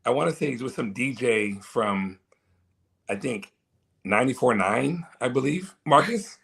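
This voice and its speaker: A man guest speaks at a mid pitch, hoarse and tired after a long event. He rambles, restarting and repeating his words. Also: expressive, unhurried, a bit bright. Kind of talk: casual interview chat.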